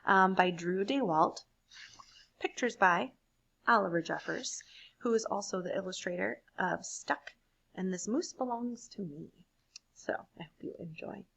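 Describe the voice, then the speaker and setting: A woman's voice: medium pitch, measured pace, creaky, fairly bright tone, breathy edge. A woman introducing a children's storybook that she is about to read aloud. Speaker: storybook reader.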